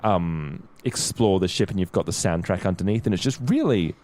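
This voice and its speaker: A man's voice: low voice, expressive, normal speed, darkish tone. A man co-hosting a podcast, thinking aloud as he casually discusses a TV episode. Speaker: podcast co-host.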